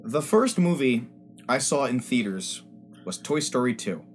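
A man's voice: medium pitch, expressive, unhurried, faint music behind. A man co-hosting a casual podcast chat, telling stories animatedly and laughing often. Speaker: podcast co-host.

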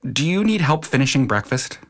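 A middle-aged father in an audio drama, with an everyday mid-pitched voice. He is skeptical and matter-of-fact, with a firm, mildly exasperated edge. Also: very animated, fairly quick. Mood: reluctant, doubtful.

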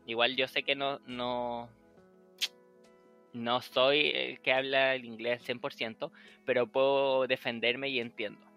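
A man's voice: medium pitch, little pitch movement, a little slow, clear, resonant tone, dull audio, soft background music. A young man from Chile chatting casually as a podcast host, acting out old conversations and laughing often. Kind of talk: casual chat.

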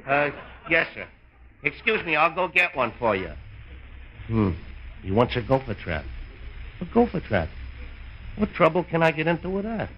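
An actor playing a nervous, stammering store clerk who barely keeps calm, in a 1950s radio comedy. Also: male voice, a little high, moderate pace, long pauses. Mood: anxious, polite. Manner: stammering, nervous, flustered hesitations. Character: jittery, long-suffering male store clerk, barely keeping calm. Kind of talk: 1950s old time radio comedy acting. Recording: very noisy, muffled old radio recording.

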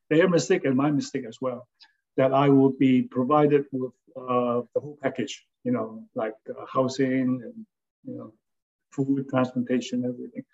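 An older man from Hong Kong, an architect recalling his career in a halting, casual way, often checking in with "okay?". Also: mid-range voice, a little mellow, muffled recording. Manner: halting, many fillers and restarts, tags with "okay?". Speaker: interviewee, architect and former design lecturer. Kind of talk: casual spoken reminiscence.

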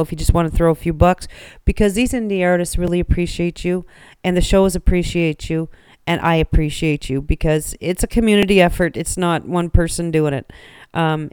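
A woman hosting a casual radio show, chatty and animated, laughing often. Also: fairly low pitch, clean tone, no room sound.

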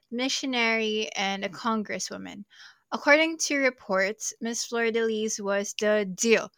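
A woman's voice: medium pitch, a bit bright, dry recording, clean studio sound. A young adult woman hosting a true crime podcast, narrating casually with jokey, self-deprecating asides. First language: not Portuguese. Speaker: podcast host.

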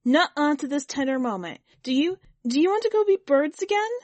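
A woman's voice: high voice, sing-song, a little slow, no echo, voice pushed up, full character voice. A woman voicing an anxious, stubborn character who blurts out emphatic protests in a story. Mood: upset, pleading.

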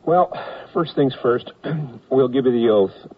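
An actor playing an easygoing, absent-minded army lieutenant in old-time radio comedy, informal and halting. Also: male voice, medium pitch, husky. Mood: mild, easygoing. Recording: tinny vintage radio recording.

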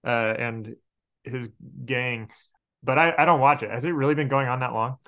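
A man's voice: medium pitch, moderate pace, sharp tone. An American man from Arkansas chatting casually as a podcast guest, explaining things and correcting himself as he goes. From Arkansas, United States. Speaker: podcast guest, game master and outdoor educator. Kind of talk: casual interview chat.

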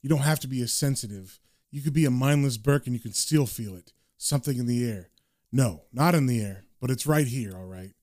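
Guy doing a cynical brit occult detective from a comic, low n dry, kinda muttery. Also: fairly quick, a little mellow, no room sound, close to an everyday voice. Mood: brooding. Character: cynical, sardonic British male occult detective.

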